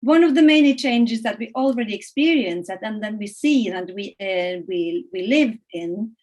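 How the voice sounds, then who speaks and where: A middle-aged woman whose first languages are Norwegian and Italian, giving a measured, earnest welcome address over a video call, with frequent hesitations and restarts. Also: mid-range voice, lively intonation, darkish tone. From Norway and Italy. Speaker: conference opener. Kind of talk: formal welcome address, read.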